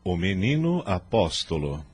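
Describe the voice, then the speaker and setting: A man's voice: deep voice, very animated, measured pace, over loud music. A man narrating a scripted radio drama in a solemn, dramatic storytelling manner, on a noisy radio recording.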